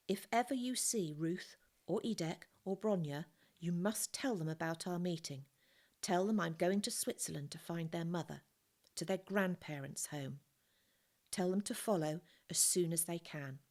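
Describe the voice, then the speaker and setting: A woman's voice: lowish voice, moderate pace, a little mellow, close to an everyday voice. A woman voicing a weary, grieving father. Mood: pleading quietly. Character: grieving father, worn out and quiet.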